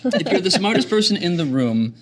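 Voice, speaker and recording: A man in his thirties hosting a podcast, cracking quick jokes in casual banter. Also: high-pitched, a loud crowd. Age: 30s. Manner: jokey, animated, quick quips. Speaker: podcast host.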